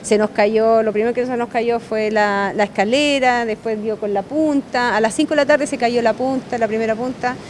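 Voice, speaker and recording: A woman's voice: on the high side, fairly even intonation, slight background noise. Emotional Chilean woman, hotel manager. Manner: halting, emotional, repeats and restarts words. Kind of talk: on-camera news interview. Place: inside a wooden restaurant by the sea. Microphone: handheld microphone held close.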